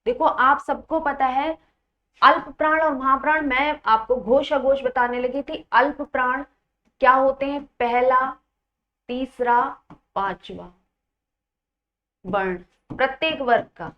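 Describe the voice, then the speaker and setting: Female exam-prep teacher, fairly high voice, instructional, repeats points and puts questions to students; slightly muffled lo-fi sound. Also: unhurried, long pauses. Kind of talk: Hindi grammar lesson, explaining quiz questions.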